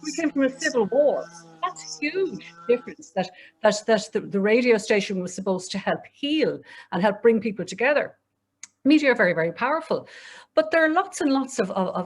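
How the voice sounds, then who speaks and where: A middle-aged Irish woman speaking earnestly as a panelist, with frequent restarts and fillers. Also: medium pitch. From Ireland. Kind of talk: panel discussion.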